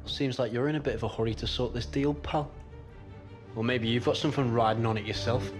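A sceptical, needling man voiced by an actor haggling in a game cutscene. His voice is medium in pitch, with music clearly behind it. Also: steady tone, a little fast, natural voice. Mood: suspicious, mocking. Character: sceptical, needling male property buyer. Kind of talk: naturalistic video game cutscene acting.

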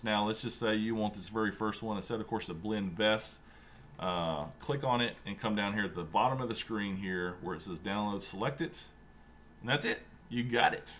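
A man in his thirties hosting a casual screen-share tutorial at home, chatty and relaxed, with lots of fillers and a fairly monotone delivery. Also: lowish voice, slight background noise. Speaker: sim racing channel host. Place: home room with a racing rig.

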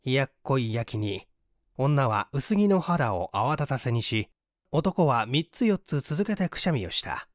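A man's voice: mid-range voice, sing-song, measured pace, dry recording, clean studio sound. A man reading literary fiction aloud as a scripted audiobook narrator.

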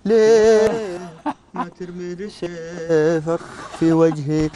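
Gulf man, playful verse reciter, slightly high voice, group chatter behind him. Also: takes it slowly. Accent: Gulf Arabic. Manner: playful, recites poem lines. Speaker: reality show contestant. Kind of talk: casual chat reciting verse.

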